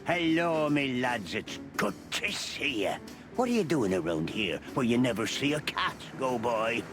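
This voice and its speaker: A man's voice: medium pitch, big pitch swings, measured pace. An actor playing a jovial, stubborn old beaver in a video game, with an Irish accent and a teasing, folksy, fatherly manner. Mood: friendly. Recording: music under the voice.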